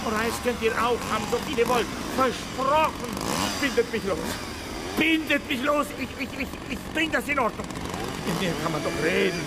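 A man's voice: very high voice, lively intonation, moderate pace, heavy background noise. An actor in a radio drama playing a panicked man, pleading and bargaining in a frantic, stammering manner. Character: panicked, pleading captive bargaining with angry workers. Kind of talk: radio drama dialogue, agitated shouting. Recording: loud music and engine sounds behind.

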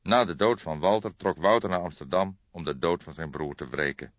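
Deep-voiced man narrating a detective novel. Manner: measured, dramatic storytelling. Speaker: audiobook narrator. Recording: boxy, echoey, dull recording.

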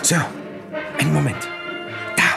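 An actor playing a deferential, eager, slightly naive detective's assistant in a German radio crime drama. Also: male voice, measured pace, over loud music, natural voice. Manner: respectful, eager reporting to his superior.

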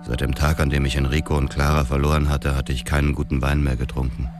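An actor playing an embittered, grieving middle-aged widower, narrating a radio drama in a terse, restrained way. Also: male voice, rumbling low, level tone, fast talker, over music. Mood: sombre, numb.

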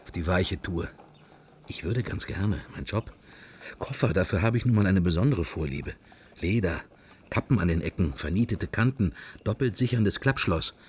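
A man's voice: deep voice, lively intonation, normal speed, husky, exaggerated voice. An actor playing a man's wandering, wry and melancholy inner thoughts in fragments, for a radio drama. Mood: wry musing. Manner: murmured, fragmentary, introspective. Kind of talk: radio drama interior monologue.

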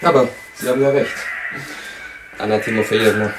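An actor playing an elated, bashful newlywed engineer in a German radio play, effusive and theatrical. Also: male voice, lowish voice, fairly even intonation, brisk, noisy background, natural voice. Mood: flustered, excited. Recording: loud music behind the voice.

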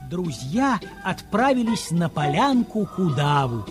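A man narrating a children's audio play in an expressive, scripted storytelling style. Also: high-pitched, a little slow. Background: music.

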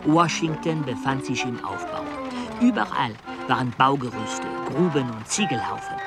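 Man as a lively storytelling travel companion. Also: medium pitch, natural voice. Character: explorer's male travel companion, recounting the journey, slightly aggrieved. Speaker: narrator and travel companion in a radio play. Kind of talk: scripted dramatic narration.

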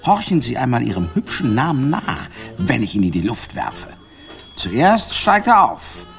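A flirtatious male composer of 1917 Paris, with a florid, playful manner and hugely swinging intonation. He lingers slowly over his words while faint music plays behind him. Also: medium pitch, very animated, vocal fry. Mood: playful, poetic. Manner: playful, florid, chuckling, courtly. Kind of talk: German radio drama acting.

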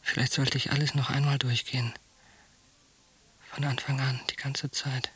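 An actor playing a tense, defiant psychiatric patient in a radio drama, murmuring his brooding thoughts to himself in a hoarse half-whisper. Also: mid-range voice, level tone, bright, forceful tone, slight background noise, natural voice. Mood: anxious brooding. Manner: inward, halting, brooding monologue. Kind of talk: radio drama inner monologue.

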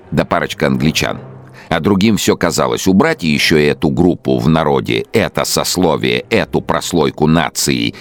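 An actor in a radio play playing a fanatical, megalomaniac male dictator, brooding and declaiming in a grandiose, emphatic monologue. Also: heavily acted. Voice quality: very deep, gravelly.

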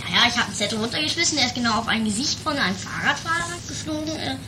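A woman's voice: fairly high pitch, animated, rapid-fire, heavy background noise, natural voice. A woman playing a cheeky, naive male character in an improvised comic audio drama, offhand and a little hesitant. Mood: matter-of-fact.

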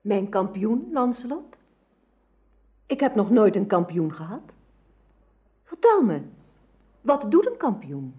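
An actress playing an innocent, obedient young princess in a radio play, demure and naive in manner. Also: female voice, medium pitch, very animated, slow pace, long pauses. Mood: wondering. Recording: dull old radio drama recording.

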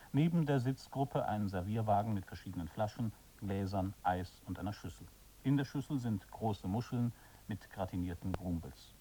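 A man narrates a radio drama in scripted, descriptive prose. His voice is lowish and mellow. It sounds a little distant from the microphone. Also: slightly rough. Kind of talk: scripted scene-setting narration.

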